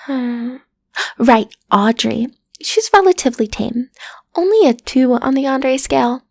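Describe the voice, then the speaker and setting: A woman's voice: high-pitched, expressive, a little slow, creaky, darkish tone, no echo, full character voice. A woman playing a frazzled, sardonic agency matchmaker in a comedic audio roleplay, chatty and full of flippant, muttered asides to the listener. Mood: wry, flippant.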